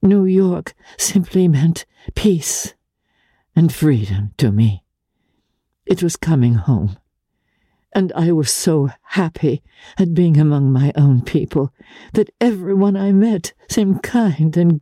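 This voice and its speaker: A woman voicing an earnest, sorrowful, self-denying society lady in a hushed, fervent audiobook reading. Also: deep voice, lively intonation, slow, slightly rough, no room sound, studio quality, pitched above the performer's own voice, heavily acted. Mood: earnest, grateful. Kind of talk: dramatic audiobook character reading.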